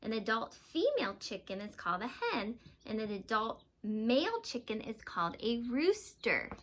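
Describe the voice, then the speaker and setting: A woman speaks as a teacher reading aloud to young pupils. Her voice is fairly high and very bright, with animated swings in pitch. She explains things in simple terms and often puts questions to the children. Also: moderate pace. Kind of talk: children's read-aloud lesson.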